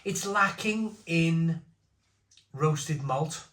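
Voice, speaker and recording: A man's voice: a little high, drawn-out words, fairly bright tone. A man in his forties giving a casual, opinionated beer review, talking bluntly in rambling asides. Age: 40s. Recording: roomy echo.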